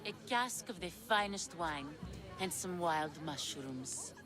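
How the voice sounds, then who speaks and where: Game witch: sly, coaxing, theatrical, unhurried, over a clear music bed. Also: lowish voice, lively intonation. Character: sly witch of Hecate peddling potions, slow, sultry. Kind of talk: dramatic video game acting.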